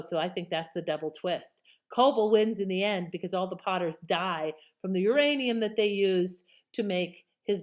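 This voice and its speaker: A woman giving casual, conversational commentary as a host. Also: fairly low pitch. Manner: conversational, informal. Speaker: channel host.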